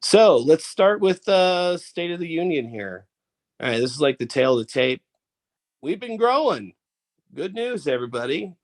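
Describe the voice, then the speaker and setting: A man's voice: a little high, very animated, moderate pace. Congested male founder, off-camera call presenter, chatty and loose with frequent restarts. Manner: chatty, rambling, with many fillers and restarts. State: congested head cold. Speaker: founder and chief executive presenting to members. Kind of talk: casual online presentation. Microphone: off camera, video call mic.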